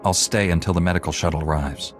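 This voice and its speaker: An actor playing an earnest young Jedi knight with a steady delivery. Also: male voice, low-pitched, fast talker, lightly acted. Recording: game audio with music under it.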